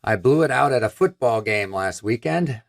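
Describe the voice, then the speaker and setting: A man's voice: mid-range voice, animated, normal speed, creaky. Fifty-something guy w a scratchy voice, upbeat and chatty, hosting a tech product stream. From Michigan. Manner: upbeat, chatty, gestures while talking. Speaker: host. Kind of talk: live stream product presentation. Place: room with a brick wall backdrop.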